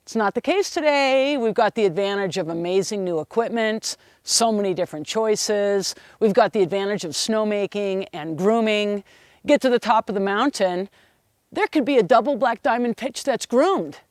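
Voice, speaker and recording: A middle-aged woman ski instructor giving an opinionated lesson on equipment in a strong, loud, emphatic voice. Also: medium pitch, expressive, normal speed, no echo, studio quality. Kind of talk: instructional video talk.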